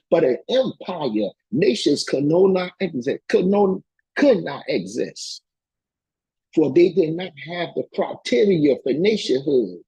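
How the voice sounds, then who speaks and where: A man's voice: a little high, creaky, mellow, breathy edge, rough recording. A man giving an impassioned political lecture, reading and commenting in an emphatic, colloquial way and repeating phrases to drive them home.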